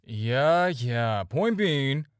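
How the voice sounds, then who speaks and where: A flirtatious supervillain played by a man speaks straight to the listener in an audio roleplay, recorded dry. His intonation swings widely as he coaxes and teases, with playful, drawn-out pauses. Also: medium pitch, lively intonation, smooth, no room sound. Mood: earnest, charming. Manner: coaxing, playful, hesitant pauses and drawn-out teasing. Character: smooth-talking, flirtatious adult male supervillain, teasing and persuasive.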